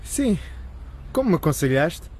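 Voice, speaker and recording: A man's voice: on the high side, very animated, a little slow, darkish tone, slightly rough, exaggerated voice. Lightish-voiced teenage boy. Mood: downcast. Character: downcast but good-humoured teenage boy confiding in friend. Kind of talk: radio drama acting.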